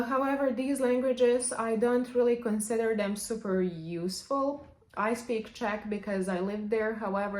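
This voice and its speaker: A woman's voice: medium pitch, a little mellow. Woman giving language tips. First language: Serbian. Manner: chatty, opens many sentences with "however". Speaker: language vlogger. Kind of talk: casual advice to camera. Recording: echoey room.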